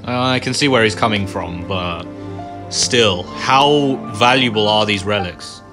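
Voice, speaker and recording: Low-voiced man giving casual gameplay commentary. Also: measured pace. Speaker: gamer. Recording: game music behind the voice. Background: game music.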